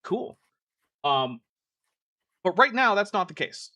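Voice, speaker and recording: A man's voice: high voice, big pitch swings, slow, pushed and bright, no echo, studio quality. A man hosting a live stream, reacting to a video in casual, exasperated commentary full of "like" fillers. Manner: chatty and exasperated, many "like" fillers.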